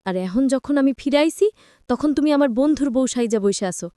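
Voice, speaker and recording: A woman's voice: high voice, expressive, moderate pace, warm tone, no echo, clean studio sound. A woman voicing a possessive, manipulative young former lover in a story, with a Bangladeshi Bengali accent and a controlling manner. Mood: mocking, accusing.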